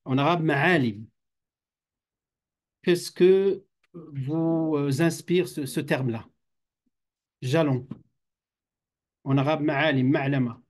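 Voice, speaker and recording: A man teaches a class of students in a classroom. His voice sits a little high for a man, and he talks in a halting way, restarting sentences and dropping phrases into his French. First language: Arabic. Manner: hesitant, many fillers and restarts, mixes Arabic phrases. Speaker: teacher at an Islamic institute. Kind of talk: classroom lecture.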